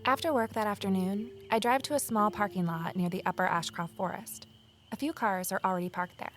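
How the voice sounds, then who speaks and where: A woman's voice: medium pitch, a little hiss or hum, close to an everyday voice. A woman playing an earnest, observant office assistant turned amateur sleuth, narrating and speaking in an audio drama. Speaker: narrator and lead character. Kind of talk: first-person audio drama narration and dialogue.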